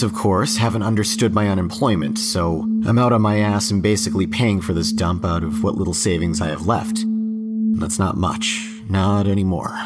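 A man's voice: low voice, lightly acted. An actor playing a broke, cynical young man who confides his troubles in a wry, conversational horror audio drama narration. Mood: bitter, resigned. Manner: wry, conversational, coarse-mouthed. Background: droning music.

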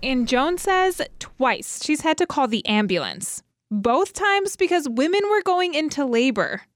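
Lively female radio reporter, dry sound. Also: sits high, measured pace, dry recording. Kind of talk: scripted radio feature narration.

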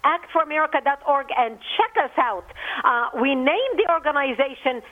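A woman's voice: high voice, expressive, moderate pace. A middle-aged woman from Lebanon, a phone-in guest giving impassioned political commentary in emphatic, repeated parallel phrases. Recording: tinny phone line.

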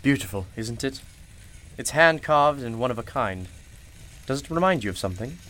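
A performer in a radio play playing a courteous, gently probing keeper of a mysterious magical shop. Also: mid-range voice, animated, normal speed, lightly acted. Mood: welcoming, knowing. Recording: music playing behind the voice. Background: music.

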